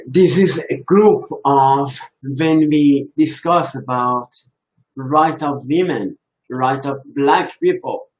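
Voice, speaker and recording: Man, very slow delivery, halting, reflective talk on faith, medium pitch. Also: low-quality recording. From Iran. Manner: slow, halting, thinking aloud.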